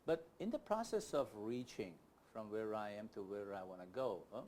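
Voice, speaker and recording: A man's voice: lowish voice, sing-song, normal speed, darkish tone, slight background noise. A man hosting a conversational interview, probing with questions and acting out hypothetical thoughts as he asks them. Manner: probing, rephrases in imagined first-person quotes. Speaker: talk show host, interviewer.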